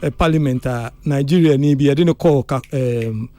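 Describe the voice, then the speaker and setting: A man's voice: medium pitch, moderate pace, dark tone. A man on a radio entertainment panel, mostly agreeing and adding short points.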